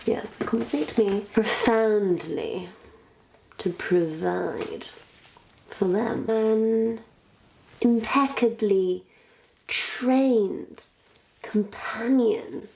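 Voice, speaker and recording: A woman's voice: mid-range voice, gravelly, a little mellow. A woman playing a sly, self-satisfied witch posing as a missionary, in a theatrical, condescending monologue that savors each word to a captive listener. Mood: smug, teasing. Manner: theatrical, condescending, savoring words with long pauses. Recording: roomy echo, faint background noise.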